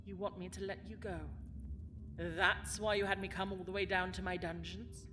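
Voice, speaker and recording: A woman's voice: mid-range voice, little pitch movement, moderate pace, music in the background, close to an everyday voice. A woman playing a cold, bitter villainess who rules a dungeon, speaking with haughty, theatrical menace in a fantasy audio drama. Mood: haughty, menacing. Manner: haughty, menacing, theatrical, swinging from hushed to forceful.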